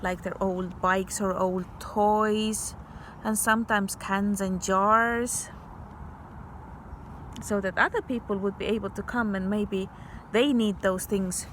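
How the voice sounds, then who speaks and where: A woman's voice: mid-range voice, steady tone, slow, fairly bright tone, a little hiss or hum. A woman teacher reading a picture book aloud to young children, patiently explaining things in simple words.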